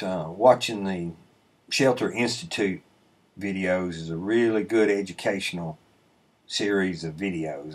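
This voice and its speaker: A middle-aged man with a low, husky voice talks through his sawmill footage in a relaxed, rambling way. He explains each step plainly, filling pauses with ums and uhs. He sounds like he is seated at his desk, speaking into a webcam mic. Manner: laid-back, explanatory, rambling with many "um" and "uh". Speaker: sawmill channel host. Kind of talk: casual voice-over commentary on footage. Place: indoor room, voice-over recorded away from the sawmill. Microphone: webcam inset, seated at a desk.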